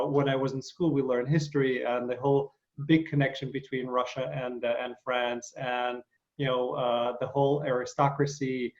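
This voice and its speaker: A man's voice: mid-range voice, dull audio. A man hosting a casual interview, enthusiastic and full of fillers and restarts. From Ukraine. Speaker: interview host.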